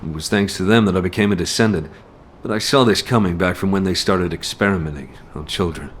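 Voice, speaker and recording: A man's voice: low voice, fairly quick, a little hiss or hum, close to an everyday voice. A man playing a determined, battle-hardened soldier in a video game, speaking in a terse, resolute, commanding way. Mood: bitter, resolute. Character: determined, battle-hardened male soldier leading a covert mission.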